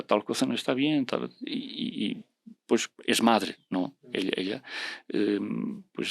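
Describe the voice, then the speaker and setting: A man whose first language is Portuguese, speaking as a podcast guest in a relaxed, reflective way, pausing often with fillers and restarting his sentences. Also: mid-range voice, dry recording, studio quality. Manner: hesitant, full of fillers and restarts; reflective, earnest. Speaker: podcast guest discussing religious prophecy. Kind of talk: casual conversational explanation.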